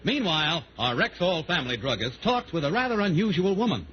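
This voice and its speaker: A man's voice: a little high, sing-song, a little fast. A man announcing in the style of 1940s network radio. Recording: muffled 1940s radio, faint hiss.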